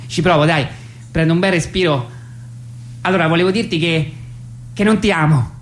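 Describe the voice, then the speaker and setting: A woman's voice: fairly low pitch, moderate pace, natural voice. An actress playing an effusive, hesitant young woman in a comic radio phone dialogue. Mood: earnest, embarrassed. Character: gushing young woman tenderly declaring non-love.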